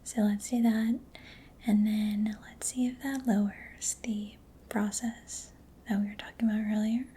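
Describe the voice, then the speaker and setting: A woman doing a soft-spoken ASMR roleplay as a mechanic, in a breathy whisper with a gentle, hesitant, reassuring manner. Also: medium pitch, flat delivery, unhurried, a little hiss or hum.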